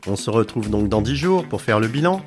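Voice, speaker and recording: A man's voice: on the low side, lively intonation, brisk, natural voice. A man playing an upbeat, orderly meeting facilitator in an audio drama, with a formal, procedural manner. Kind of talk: audio fiction dialogue. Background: music.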